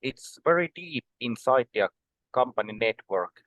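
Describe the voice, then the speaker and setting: A man in his forties from Finland, a podcast guest explaining things in a measured way, with many um and uh pauses. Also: medium pitch, lively intonation, fairly bright tone, no echo. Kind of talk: conversational podcast interview.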